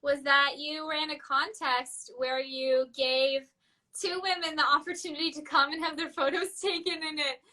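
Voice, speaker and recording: Effusive woman host, very high voice. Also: fairly even intonation, normal speed, sharp tone, far from the mic. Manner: effusive, laughs often. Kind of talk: casual interview chat.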